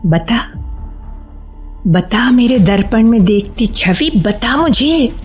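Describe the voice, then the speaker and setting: A high, slow-spoken radio drama heroine, reserved and full of secret yearning. She hesitates, repeats herself and lets emotion show, with music underneath. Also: lively intonation, a little mellow. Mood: yearning, confessional. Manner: hesitant, emotional, repeats phrases, drops into breathy whispers. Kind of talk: Hindi radio play acting.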